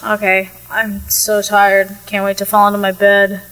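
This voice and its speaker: A woman's voice: mid-range voice, monotone, unhurried, a bit bright, clear, resonant tone, lightly acted, rough recording. A young woman playing a restless, defensive fifteen-year-old in a contemporary audio play, with a casual, youthful manner. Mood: resigned.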